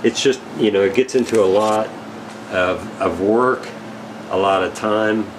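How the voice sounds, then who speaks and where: A man giving a casual, unscripted product update as an equipment dealer, in a rambling, halting, matter-of-fact way. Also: lowish voice, noisy background.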